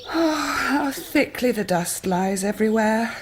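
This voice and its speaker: A genteel English lady of the manor in a Victorian radio play. Her slightly high voice is refined and composed, over faint ambient noise. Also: animated, unhurried. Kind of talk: 1990s radio drama acting.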